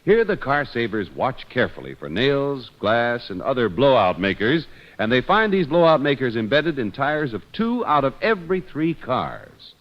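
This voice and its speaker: Dull vintage radio, animated American announcer. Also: male voice, mid-range voice, moderate pace. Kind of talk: old-time radio scripted announcing. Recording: dull old radio recording.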